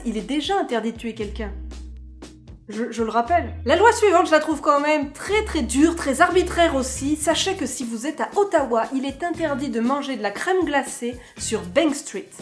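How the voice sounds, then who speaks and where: High-voiced chatty woman vlogger. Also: lively intonation, normal speed, soft background music. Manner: playful, chatty, joking asides. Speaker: vlogger host. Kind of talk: casual vlog monologue.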